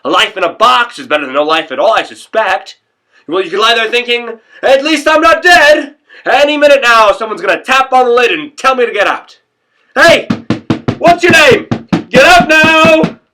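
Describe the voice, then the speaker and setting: A man performing a comic theatrical monologue as a neurotic, morbid muser, rambling in an excitable way. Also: mid-range voice, normal speed, pauses often. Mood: frantic, agitated. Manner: excitable, rambling, escalating to shouting. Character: neurotic, morbidly musing man working himself frantic.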